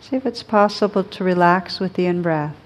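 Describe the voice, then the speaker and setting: A woman teaching a guided meditation in a gentle, soothing, unhurried manner with long pauses between phrases. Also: fairly low pitch.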